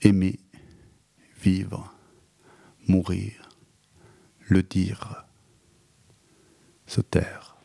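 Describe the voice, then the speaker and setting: A man with a southern French accent from around Albi recites a rhythmic, lyrical spoken-word poem in a radio studio. His voice sits fairly low. The sound is dry and close. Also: monotone, extremely slow, vocal fry, long pauses, dark tone, no echo. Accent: southern French, Albi area. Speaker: guest poet.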